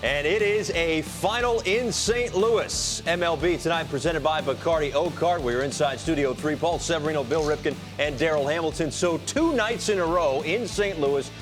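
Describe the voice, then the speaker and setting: A man hosting a studio sports show, giving lively commentary on a game. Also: fairly high pitch, moderate pace, few pauses, music in the background.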